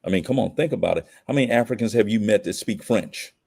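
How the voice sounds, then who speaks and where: Man, American accent, lowish voice, emphatic, slangy, rhetorical questions, opinionated podcast talk. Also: fast talker, creaky, a little mellow, husky. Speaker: podcast guest. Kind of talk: opinionated conversational commentary.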